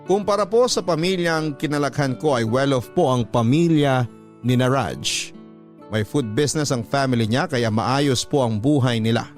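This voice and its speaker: A man reading a letter sender's story aloud in a polite, earnest way, radio drama style, with soft instrumental music under his voice. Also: mid-range voice, lightly acted.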